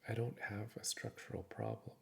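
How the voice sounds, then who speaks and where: A man narrating a guided meditation in a gentle, reassuring, instructive manner. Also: lowish voice, little pitch movement, normal speed, vocal fry.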